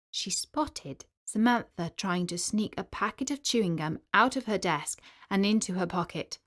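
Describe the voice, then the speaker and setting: A woman storyteller narrating a children's story in an expressive, clearly articulated storybook manner. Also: fairly low pitch.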